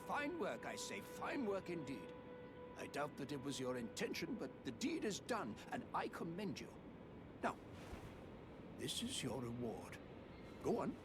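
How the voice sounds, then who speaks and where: Pompous lordly heir, courtly and flowery, raised pitch, theatrical game dialogue, loud music behind. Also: male voice, wildly swooping pitch, unhurried, long pauses. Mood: pleased, grateful. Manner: pompous, flowery, old-fashioned courtly speech. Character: pompous, high-minded male noble heir, grandly grateful.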